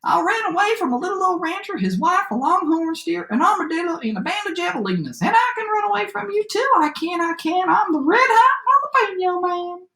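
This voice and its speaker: Woman putting on a high-pitched cocky little gingerbread cowboy. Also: sing-song, a little fast, few pauses, echoey room, full character voice. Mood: boastful. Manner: shouted, taunting sing-song boasts. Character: cocky gingerbread cowboy, small, excitable runaway boasting jalapeno man.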